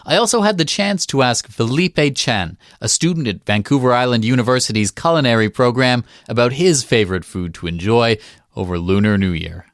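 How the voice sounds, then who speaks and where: Male radio reporter, calm steady narration, dry close mic. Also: medium pitch, a little fast, dry recording. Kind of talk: scripted radio narration and interviewing.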